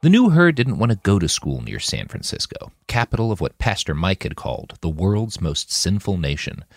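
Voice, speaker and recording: A man reading scripted fiction narration in an expressive, dramatic storytelling manner. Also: deep voice, moderate pace, no echo, clean studio sound. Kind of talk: scripted audiobook-style narration of a dystopian war novel.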